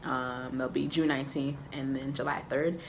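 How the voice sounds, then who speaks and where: Raspy, gravelly-voiced man vlogging. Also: mid-range voice, little pitch movement, normal speed, a little hiss or hum. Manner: candid and open, many filler ums. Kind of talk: casual personal update to camera.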